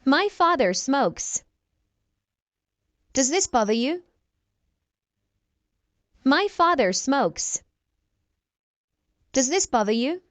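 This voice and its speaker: A woman teaching English, reading short practice dialogues slowly and clearly and repeating each exchange for learners. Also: high voice, a bit bright, no room sound.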